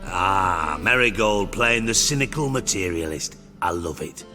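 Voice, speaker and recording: Sharp-voiced sardonic British spymaster. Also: male voice, low voice, animated, measured pace, big-room sound, soft background music, exaggerated voice. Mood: wry, probing. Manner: mockingly polite, wry, articulate, profane. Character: cynical, shrewd, sarcastic male underworld spymaster, middle-aged. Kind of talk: video game voice acting.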